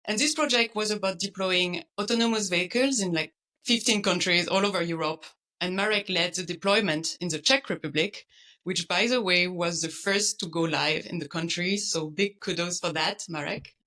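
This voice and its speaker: Woman hosting a podcast interview on a video call, bright voice, friendly, laughs a lot. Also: on the low side, moderate pace, low-quality recording. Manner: friendly, laughs often, light fillers.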